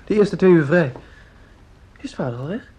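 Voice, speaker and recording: An actor in a Dutch radio play playing an earnest, lovesick teenage schoolboy, polite and hesitant. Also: male voice, on the high side, expressive, moderate pace, a little mellow, breathy edge. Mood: casual, polite.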